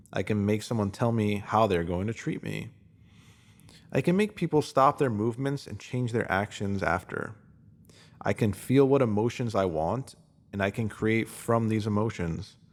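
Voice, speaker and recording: A man with a fairly low voice reads a written spiritual essay aloud. His delivery is calm and measured, steady and reflective from line to line. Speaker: reader. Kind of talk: scripted reading of a spiritual essay.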